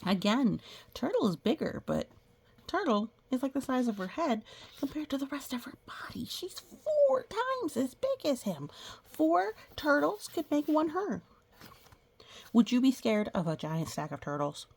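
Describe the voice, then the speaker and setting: A woman reading a children's picture book aloud, with playful sound effects and casual, gentle explanatory asides. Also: sits high, very animated, a little slow, husky. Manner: explains gently to young listeners.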